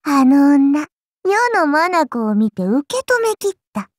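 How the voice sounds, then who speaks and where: A woman's voice: sits high, very animated, slow pace, no echo, clean studio sound, heavily acted. A woman playing a childlike, playful serpent-god oni in an anime game, speaking in a haughty, archaic lordly manner with indulgent teasing. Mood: amused, intrigued. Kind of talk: anime game character voice lines.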